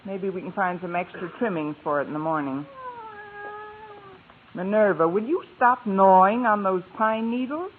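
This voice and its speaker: An actress playing a wry, soft-hearted single woman with a dry wisecracking manner in an old radio comedy. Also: mid-range voice, normal speed, close to an everyday voice. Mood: wistful, wry. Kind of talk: 1940s-50s radio comedy acting. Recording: tinny noisy old radio recording.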